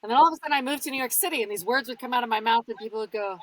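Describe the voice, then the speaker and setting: A woman's voice: on the high side, rapid-fire, no room sound, rough recording. A woman from Idaho who works as an audiobook narrator, chatting playfully as a podcast guest. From Idaho, USA. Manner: playful, lively storytelling. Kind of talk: casual podcast chat.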